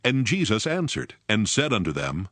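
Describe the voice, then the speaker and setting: A man's voice: fairly low pitch, wildly swooping pitch, normal speed, fairly bright tone, no echo. A man narrating scripture from a script in a formal, dramatic storytelling manner. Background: prominent background music.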